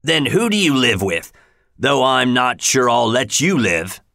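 A man's voice: mid-range voice, very animated, unhurried, gravelly, sharp tone, no room sound, studio quality, full character voice. A man doing a growling, menacing convict.

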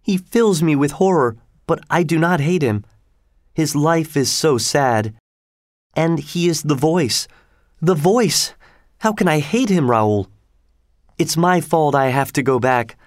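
A man's voice: on the high side, animated, slow pace, dry recording, studio quality, pitched above the performer's own voice. A man voicing a frightened, earnest young woman opera singer in a dramatic storybook reading. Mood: anguished, pitying.

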